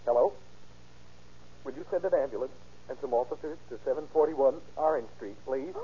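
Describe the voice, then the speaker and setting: An actor playing a dazed, defeated young man in an old radio crime drama, halting and evasive. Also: mid-range voice, dark tone, close to an everyday voice. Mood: dazed politeness. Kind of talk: 1930s-40s radio crime drama acting. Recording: muffled old radio recording, faint noise.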